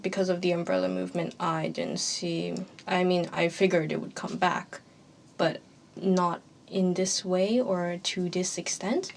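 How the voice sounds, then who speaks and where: Young woman with gravelly low voice. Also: fairly even intonation, unhurried, a little hiss or hum. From Hong Kong. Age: young adult. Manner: frank and emphatic, repeating key points. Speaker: student guest. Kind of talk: roundtable discussion.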